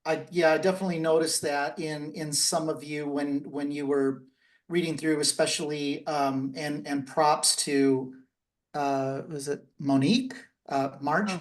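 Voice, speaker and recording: A man's voice: on the high side, fairly even intonation, measured pace. A man speaking hesitantly and giving compliments in a casual group discussion. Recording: distant from the microphone.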